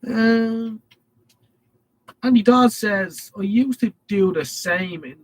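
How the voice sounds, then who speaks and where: Irish guy on a live stream with a head cold, a bit husky and higher pitched, rambling through chat comments. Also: slow pace, no room sound, low-quality recording. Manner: rambling, reads chat messages aloud.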